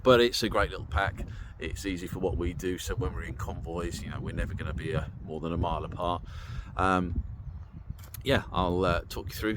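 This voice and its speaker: A man's voice: deep voice, background noise. A British man giving a casual, chatty, easygoing walk-through as a vehicle owner, talking informally to a handheld camera. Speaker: vehicle owner presenting an installation. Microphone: handheld camera or phone.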